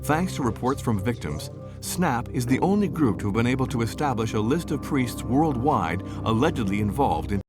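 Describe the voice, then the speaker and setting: A man narrating a documentary in a measured, grave scripted delivery. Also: medium pitch, lively intonation. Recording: voice-over with clear music behind.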